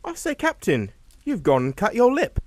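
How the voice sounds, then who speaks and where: An actor playing the young, well-bred son of a family in a suspense drama, with a hearty, proper manner. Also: male voice, sits high, huge pitch swings, moderate pace, dry recording. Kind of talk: radio suspense drama acting.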